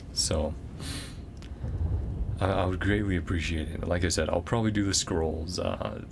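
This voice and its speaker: A man's voice: low voice, creaky, noisy background. A man doing casual livestream commentary on a game, rambling and thinking aloud as he goes. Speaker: game streamer. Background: game music.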